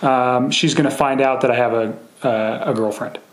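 An American man questioning as a police investigator, phrasing things carefully and haltingly, on a recorded phone interview. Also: medium pitch, fairly even intonation, reverberant.

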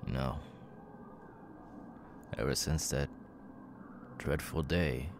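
A man's voice: very deep voice, slow, creaky, noisy background, heavily acted. A man playing an unhinged young killer with tics and a stutter, stammering, muttering and yelping out sudden words in an ASMR audio roleplay. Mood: troubled, frustrated. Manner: stammering, sudden yelped outbursts, muttered asides.

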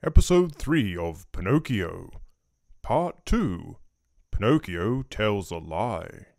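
Lively man presenting for children. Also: on the low side, takes it slowly, gravelly, no echo. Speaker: presenter of a children's audio drama show. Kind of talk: scripted children's show presenting.

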